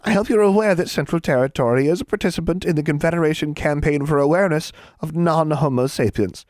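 Storybook hag voiced by a man, female, pitched well up, syrupy and officious with a threatening edge. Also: fast, a little mellow, no echo, clean studio sound, lightly acted. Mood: indulgent scolding. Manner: indulgent, officious, crooning, mock-sweet. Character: cheerful, menacing hag official, huge misshapen woman. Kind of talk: storybook character voice.

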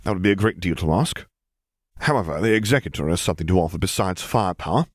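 A man's voice: fairly low pitch, no echo, clean studio sound, lightly acted. Man playing an earnest, formal admiral. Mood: persuasive. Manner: measured, polite, formal. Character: earnest, formal male admiral, courteous and careful. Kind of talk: audiobook character voice.

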